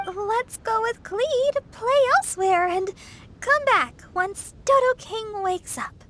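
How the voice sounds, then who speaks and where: Very high-voiced, sweet girl. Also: expressive, takes it slowly, a bit bright, full character voice. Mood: reassuring. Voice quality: girlish. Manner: encouraging, kindly. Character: sweet, caring teenage girl, gentle big-sister type. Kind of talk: video game voice acting. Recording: music behind the voice.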